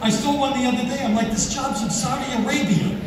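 A man in his sixties doing a stand-up comedy routine on a theater stage, with a deadpan, self-mocking delivery. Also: very high-pitched, fast talker. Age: 60s. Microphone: handheld mic, filmed from far in the audience. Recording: echoey hall with crowd, from far off. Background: audience laughter and applause.

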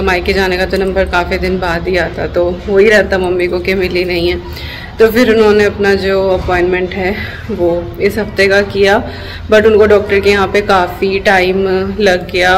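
A tired middle-aged woman from India talks through her day on a handheld phone camera, mixing English phrases into easy, chatty Hindi. Her pitch sits in the middle of the range, and faint background noise runs underneath. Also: low-quality recording. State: tired, with a headache. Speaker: vlogger. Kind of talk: casual travel vlog chat. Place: airport and then at home.

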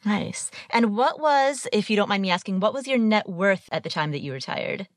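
A woman's voice: mid-range voice, moderate pace, dry recording, clean studio sound. A woman from Ohio hosting a podcast, reading an intro and asking interview questions. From Ohio, USA. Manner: lively, expressive questioning. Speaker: podcast host.

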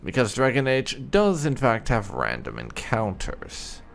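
A man's voice: mid-range voice, wildly swooping pitch, measured pace, vocal fry, slight background noise. A man giving casual commentary as host of a game playthrough. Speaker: gaming video host. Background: faint game music.

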